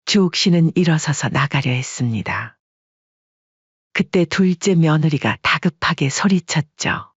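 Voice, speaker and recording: Male story narrator: husky, steady dramatic reading, close dry recording. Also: a little high, a little slow, no echo. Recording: dull lo-fi dry sound.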